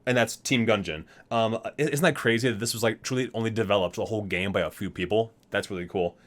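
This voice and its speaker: A man's voice: fairly low pitch, fast. A man hosting an ASMR unboxing, chatting casually and reading aloud, rambling, correcting himself and marveling at small details. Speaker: video host, gaming fan.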